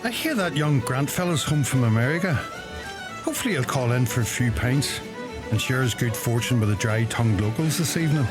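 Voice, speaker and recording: A man's voice: medium pitch, expressive, fairly quick, over music, natural voice. A man playing a gossipy, self-important village publican with a Donegal Irish accent in a radio play.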